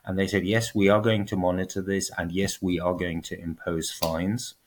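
Man, lower voice, podcast guest; patient explainer with a dry, ironic edge. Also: little pitch movement. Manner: measured, explanatory, lightly ironic. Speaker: guest, transparency advocate. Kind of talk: podcast interview.

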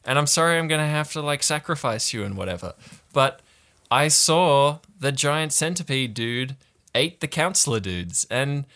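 Man playing a slangy, bright-voiced teenage camp warlord. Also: fairly high pitch, expressive, moderate pace, voice pushed up. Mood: fed up, placating. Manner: slangy, drawling, nonchalant bro-speak. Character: laid-back teenage boy, self-crowned warlord of a summer camp. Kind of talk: improvised comedic roleplay.

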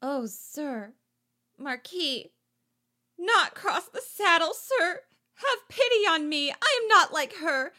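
A woman's voice: a little high, very animated, fairly bright tone, exaggerated voice. A woman voicing a romantic, easily flustered young girl who exclaims breathlessly. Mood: fretful. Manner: breathless, exclaiming, quick mood swings.

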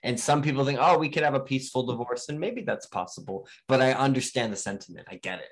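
A man's voice: mid-range voice, fast talker. A man co-hosting a podcast, talking bluntly and casually, with easy swearing. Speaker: podcast co-host. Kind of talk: casual political chat.

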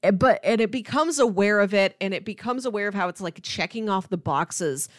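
A woman's voice: medium pitch, lively intonation, brisk, no room sound, clean studio sound. A woman co-hosting a podcast, chatting casually and enthusiastically about films, with "like" sprinkled through her talk. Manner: enthusiastic, conversational, peppers talk with "like". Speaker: podcast co-host. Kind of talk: casual film discussion chat.

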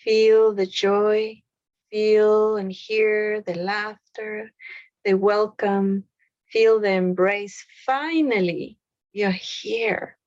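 A woman leading a guided meditation, speaking softly with long pauses between short phrases. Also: mid-range voice, steady tone, no room sound, lo-fi sound. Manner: slow, soft, with long pauses.

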